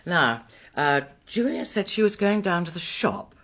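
Older English householder, brisk, sensible, husky, lively intonation, radio drama. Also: female voice, fairly low pitch, full character voice. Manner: brisk, no-nonsense.

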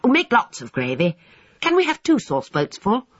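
A woman's voice: a little high, wildly swooping pitch, normal speed, pitched above the performer's own voice. A woman voicing an eager, polite, chatty schoolboy full of enthusiastic slang in an audiobook. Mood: eager, hungry. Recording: dull, roomy, echoing sound.

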